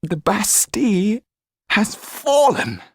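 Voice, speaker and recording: A man voicing an excitable male valet in an audiobook, speaking urgently to his master. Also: high-pitched, slow pace, gravelly, slightly rough, no room sound, studio quality, voice pushed up, exaggerated voice. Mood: excited.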